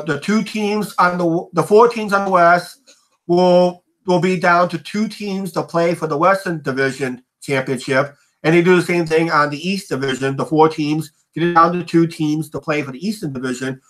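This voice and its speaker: Middle-aged male host, bright, rambling livestream chatter full of fillers. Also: on the high side. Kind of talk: casual livestream chat.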